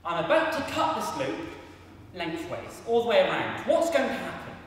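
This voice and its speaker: Lively young man in an echoey hall. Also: high voice, normal speed, distant mic, slight background noise. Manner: energetic, chatty and self-deprecating, often calls out to the audience. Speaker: maths performer at a variety show. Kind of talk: live stage talk with hands-on demonstration. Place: large hall with a seated audience. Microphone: handheld mic, sometimes set down. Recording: echoey hall, voice picked up from afar.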